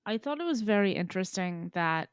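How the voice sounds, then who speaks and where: Female co-host, medium pitch, blunt casual chat, dry sound without room. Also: lively intonation, a little fast, smooth, no room sound. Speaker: podcast co-host.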